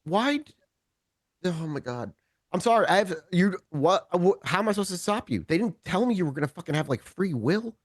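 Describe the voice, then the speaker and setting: A man playing a nervous, well-meaning ordinary human in a tabletop game, talking casually in a halting, stammering way. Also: high-pitched, very animated, dry recording, clean studio sound. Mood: flustered.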